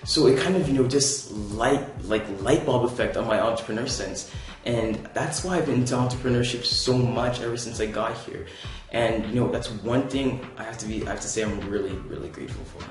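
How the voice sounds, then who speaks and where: A man's voice: mid-range voice, brisk, soft background music. Laid-back young man in echoey room. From Canada. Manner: rambling, filler-heavy, laid-back. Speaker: vlogger. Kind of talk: casual storytelling vlog.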